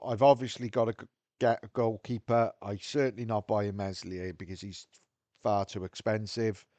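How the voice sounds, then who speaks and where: A man's voice: lowish voice, a little mellow, no echo. A man with a Welsh accent talking frankly to his viewers, thinking aloud as he goes. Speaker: video host. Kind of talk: casual talk to camera.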